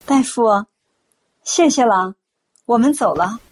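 一位女演员在广播剧里饰演和善、沉稳、宽容的中年阿姨，声音带着气声。. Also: female voice, a little high, lively intonation, takes it slowly, darkish tone. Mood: polite. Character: kindly, calm, forgiving middle-aged auntie. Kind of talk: audio drama acting.

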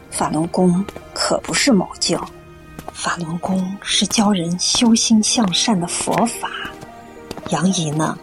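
An actress playing a kindly, patient middle-aged auntie who explains things earnestly in a radio drama. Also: female voice, medium pitch, close to an everyday voice.

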